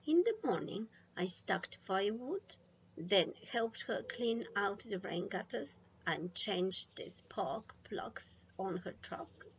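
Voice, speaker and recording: Slow, expressive woman storybook reader. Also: mid-range voice, highs cut off. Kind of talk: expressive children's storybook narration. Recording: clear background noise.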